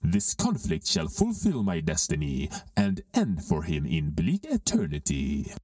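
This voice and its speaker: A vengeful dark lord in a Shakespearean drama reading. The voice is very low and grave, swinging hugely in pitch, and sits a little distant from the microphone. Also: male voice, wildly swooping pitch, a little slow, dry recording, studio quality, full character voice. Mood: vengeful brooding. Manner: grave, declamatory.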